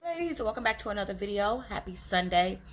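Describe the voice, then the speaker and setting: Raspy-voiced young woman vlogger. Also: medium pitch, expressive, fast talker, loud music behind the voice. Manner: chatty, confiding, addresses viewers as girls. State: hoarse from talking all day. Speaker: relationship advice vlogger. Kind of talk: casual advice talk to camera.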